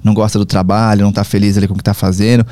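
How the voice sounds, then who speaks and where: A man from Brazil, a podcast guest, talking in a reflective, halting way with many fillers and restarts. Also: lowish voice, level tone, warm tone, no room sound. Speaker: podcast guest, travel platform founder. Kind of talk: casual conversational interview.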